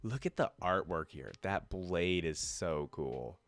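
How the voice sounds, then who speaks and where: A man's voice: sits low, lively intonation, slow. A man hosting a reaction video, reading lore aloud and adding informal, casual fan commentary.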